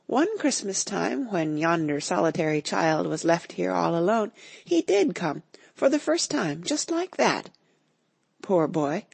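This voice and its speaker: A woman voicing an old male miser in a storybook reading, excited and caught between laughing and crying. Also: mid-range voice, a little slow, vocal fry, husky, no room sound, heavily acted. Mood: ecstatic. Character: old male miser, overcome with nostalgic joy.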